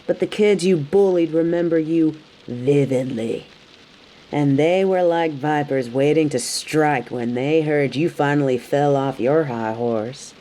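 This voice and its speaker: A woman's voice: lowish voice, dark tone, lightly acted, rough recording. A woman playing a tough, sassy bounty hunter in an audio roleplay, speaking straight to the listener with dry, taunting, scolding bluntness. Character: tough, sardonic female bounty hunter, grudgingly hospitable, scolding. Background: rain.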